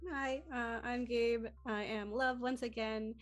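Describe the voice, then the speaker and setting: A woman's voice: fairly high pitch, steady tone, slow, soft background music. A woman voice actor and podcaster casually introducing herself and plugging her work. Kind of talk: casual self-introduction and plug.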